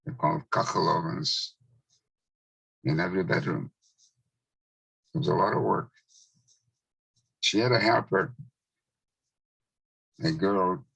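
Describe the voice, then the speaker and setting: Man in his 90s, Hungarian native speaker, very low voice, gravelly, husky, dry close sound, slow measured telling. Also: a bit bright, dry recording, rough recording. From Czechoslovakia. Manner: slow, measured recollection with pauses. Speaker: Holocaust survivor speaker. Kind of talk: testimony talk.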